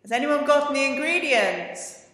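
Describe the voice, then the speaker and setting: A woman's voice: high voice, animated, moderate pace, fairly bright tone. A middle-aged woman playing a cheerful pantomime narrator with theatrical, scripted presenting. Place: indoor room, virtual curtain backdrop. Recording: echoey room with long reverb.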